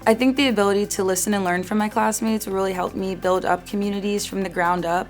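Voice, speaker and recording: A woman's voice: mid-range voice, steady tone, fast, soft background music. A young woman in her twenties, a graduate student speaking casually and reflectively in an upbeat interview testimonial. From United States.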